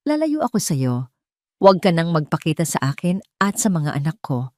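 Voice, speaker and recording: A woman's voice: lowish voice, big pitch swings, normal speed, a little mellow, no room sound. A wounded, protective mother in an audio drama, acted by a woman narrator. She speaks in short, emphatic sentences, with defiance under the distress. The sound is dry. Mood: accusing, hurt.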